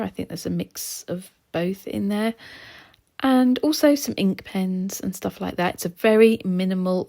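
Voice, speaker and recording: A woman narrating her art vlog in a relaxed, chatty way, her voice slightly hoarse as she loses it. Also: medium pitch. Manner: chatty, relaxed, with soft laughs and ums. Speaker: art vlogger. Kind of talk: casual voice-over narration.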